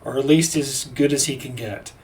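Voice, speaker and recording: A man's voice: medium pitch, moderate pace, a little mellow, far from the mic, poor audio. A man giving a casual, rambling movie review with a sarcastic edge and lots of fillers and restarts.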